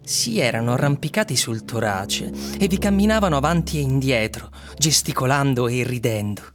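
A man's voice: medium pitch, animated, moderate pace, lightly acted. An actor playing a man who solemnly reads an eerie literary tale aloud in a radio drama. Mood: solemn, descriptive. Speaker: narrator and actor. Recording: music under the voice.

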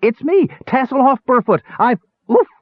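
A little male Kender adventurer voiced by a man in a storybook reading, exasperated and anxious. The voice is lifted and very animated, babbling and pleading. The recording is a bit dull. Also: a little high, normal speed, no room sound, pitched above the performer's own voice. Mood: relieved, babbling.

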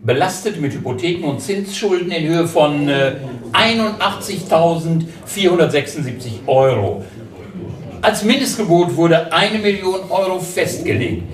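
A measured court auctioneer, played by a man, calls out lots and bids in an official, even way. His voice sits high. He is heard from a distance in an echoey room full of murmuring bidders. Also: lightly acted. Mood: formal. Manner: formal, measured calling of bids. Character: formal male court auctioneer, middle-aged. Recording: roomy radio drama, auction hall crowd.

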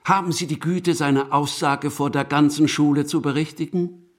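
An actor playing a pompous, menacing school principal in a German radio drama, speaking with mock politeness. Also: male voice, fairly high pitch, steady tone, moderate pace, close to an everyday voice. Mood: mock-polite.

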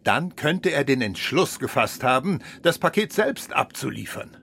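A man's voice: mid-range voice, sing-song, measured pace, vocal fry, fairly bright tone, slightly rough, full character voice. A man playing an authoritative, impatient senior police official in a German radio drama, with a commanding, emphatic delivery. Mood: insistent.

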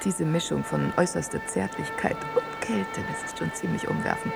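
A woman's voice: very high-pitched, wildly swooping pitch, moderate pace, gravelly, over loud music, heavily acted. An actress playing a well-read, wry woman who talks reflectively and articulately in a radio play conversation. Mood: wry, intimate. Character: well-read woman musing wryly on seducers with a friend.

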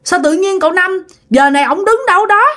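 A woman's voice: very high voice, a bit bright, pitched above the performer's own voice. A woman voicing a worried, baffled young nephew who fires off insistent questions in a spoken ghost story. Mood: alarmed, puzzled. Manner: insistent, exclaiming, rapid repeated questions.

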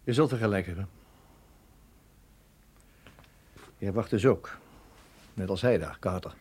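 A man playing a blunt, irritable army colonel in a radio drama, gruff and grumbling. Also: deep voice, fairly quick, darkish tone. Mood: attentive, skeptical. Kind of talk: Dutch radio drama acting.

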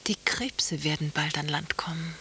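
A teasing, dreamy wife in a radio conversation piece. Her voice sits fairly low and she talks in short poetic phrases. There is a slight hiss on the recording. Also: normal speed, sharp tone. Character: dreamy, teasing wife watching the water, needling her husband. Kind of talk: German radio play acting. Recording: faint tape hiss.

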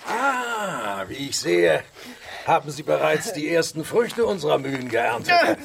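An actor playing a smug, callous scientist leading an expedition in a radio drama, amused and patronizing. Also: male voice, fairly high pitch, sing-song, measured pace, gravelly, a crowd in the background, exaggerated voice. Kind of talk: German radio drama acting.

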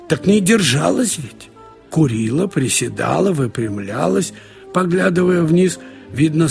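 A man narrating a literary short story in an expressive, storytelling way with wry colloquial asides. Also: on the high side, vocal fry. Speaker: narrator reading short fiction. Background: music.

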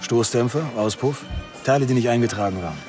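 A man's voice: medium pitch, brisk, a little mellow, faint music behind, close to an everyday voice. Actor as flippant, casual male police detective. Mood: relaxed, assured. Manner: casual, teasing, colloquial. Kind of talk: radio drama acting.

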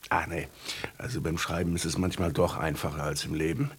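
An actor playing a grumbling, musing writer in a radio drama, muttering to himself in a husky voice. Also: male voice, low-pitched, steady tone, brisk, exaggerated voice. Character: grumbling, musing male writer fond of red wine.

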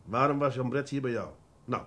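An actor playing a shrewd, patient, fatherly police detective in a Dutch radio drama, pressing with deliberate, drawn-out emphasis. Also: mid-range voice, a bit bright, natural voice. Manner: deliberate, coaxing, drawn-out emphasis, mildly ironic.

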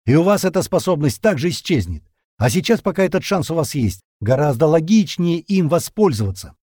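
A man's voice: fairly high pitch, sing-song, a little fast, dark tone, no room sound, studio quality, voice pushed up. A man voicing a weary, commanding captain in an audiobook, speaking with earnest, emphatic insistence. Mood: heated. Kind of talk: audiobook character dialogue.

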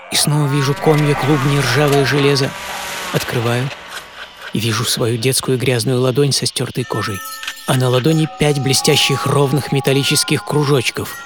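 An actor playing an earnest, dreamy storyteller who recalls a poor boyhood, in a theatrical, reflective radio monologue. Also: male voice, mid-range voice, natural voice. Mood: weary. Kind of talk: dramatic first-person radio monologue. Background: music.

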